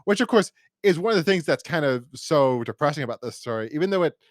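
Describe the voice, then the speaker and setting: A man's voice: on the high side, expressive, fast, no room sound, studio quality. A man co-hosting a podcast, talking casually and in a rambling way as he reviews and acts out imagined lines. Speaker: podcast co-host.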